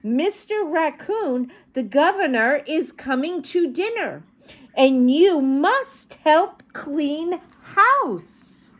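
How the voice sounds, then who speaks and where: A woman puts on a bossy storybook mother woodchuck with a high voice. She is bustling and emphatic, calling out her words. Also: animated, drawn-out words, a bit bright, full character voice. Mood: urgent.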